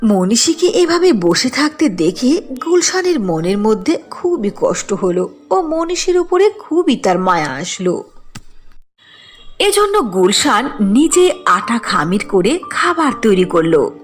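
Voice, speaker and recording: A woman's voice: on the high side, very animated, slow, soft background music. A woman narrating a scripted cartoon folk tale as a storyteller.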